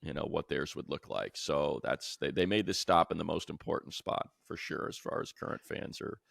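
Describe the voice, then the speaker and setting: A male sports announcer chats casually and with enthusiasm about football. His voice sits very low with a gravelly fry, and it is recorded dry and close. He talks in a colloquial, conversational storytelling way. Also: normal speed, fairly bright tone, dry recording. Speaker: sports show host and football play-by-play announcer.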